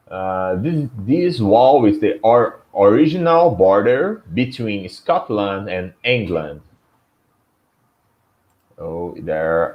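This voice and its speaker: A man's voice: lowish voice, muffled recording. A man from Brazil with a Brazilian Portuguese accent, a student reading vocabulary aloud hesitantly, with restarts and self-corrections. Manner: hesitant, reads aloud haltingly.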